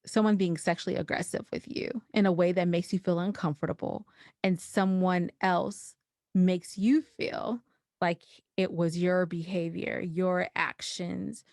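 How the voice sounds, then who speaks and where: A woman in a reflective podcast conversation, explaining her points deliberately in short phrases. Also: on the low side, fairly even intonation, darkish tone, dry recording, clean studio sound. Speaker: podcast guest.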